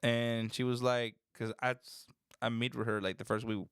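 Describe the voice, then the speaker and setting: Young male podcast host, relaxed and rambling, clean voice, dry room. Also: medium pitch, moderate pace, smooth, no echo. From Dominican Republic. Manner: relaxed, rambling, says "bro". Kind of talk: casual chat.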